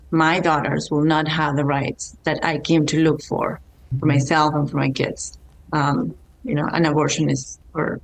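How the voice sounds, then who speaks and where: Woman, low voice, dry sound. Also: creaky, no room sound, low-quality recording. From Argentina. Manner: earnest, with fillers. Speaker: panel guest. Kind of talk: live panel discussion. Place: home room. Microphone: video call.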